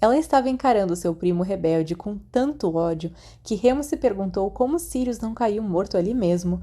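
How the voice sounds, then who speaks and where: A Brazilian woman narrates a work of fiction. Her voice is mid-pitched and distinctly mellow. She reads from a script in the manner of an audiobook.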